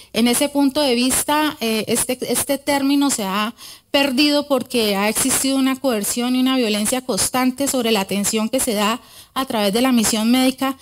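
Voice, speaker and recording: A middle-aged woman giving an academic conference talk at a podium microphone in a large auditorium, earnest and often pausing to correct herself. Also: a little high, slight background noise. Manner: earnest, with frequent hesitations and self-corrections. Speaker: conference speaker. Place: large wood-panelled auditorium.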